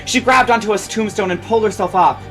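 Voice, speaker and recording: A woman narrates a story in a dramatic reading style. Her voice sits at an ordinary pitch for a woman, with faint music playing behind it. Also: fast talker, fairly bright tone. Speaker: fan fiction reader.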